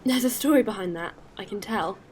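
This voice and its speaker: A woman playing a caring, earnest space traveler in an audio drama, speaking with gentle hesitancy. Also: mid-range voice, brisk, lightly acted. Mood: warm curiosity.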